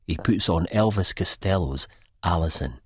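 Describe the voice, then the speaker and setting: A man's voice: deep voice, lively intonation, moderate pace, husky, no echo, studio quality. A man with a Scottish accent playing a wry, reflective, disillusioned first-person narrator in an audiobook. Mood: uneasy. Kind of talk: first-person literary narration, character acting.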